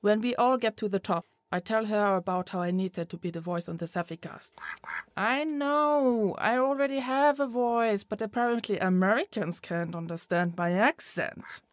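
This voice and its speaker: A woman with a Scandinavian accent playing a brisk, determined forest-dwelling podcaster in an audio drama. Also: medium pitch, no room sound, lightly acted. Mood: brisk, matter-of-fact. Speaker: podcast host and creator.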